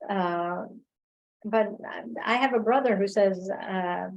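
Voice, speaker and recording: Woman guest on an online talk, medium pitch, thoughtful, pauses a lot while answering. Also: highs cut off. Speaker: guest speaker, filmmaker and oral history researcher. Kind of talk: spoken discussion, reflective answers. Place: remote online video call.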